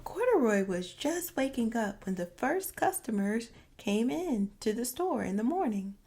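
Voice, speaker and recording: A woman narrating a children's storybook expressively, with deliberate, dramatic phrasing for young listeners. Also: fairly high pitch, very animated, mellow, husky. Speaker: children's read-aloud narrator and former teacher.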